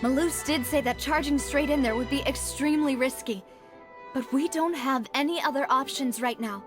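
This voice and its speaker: A woman playing a poised, determined young lady investigator in a video game, composed and expressive. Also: sits high, normal speed, music in the background, natural voice. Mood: grave.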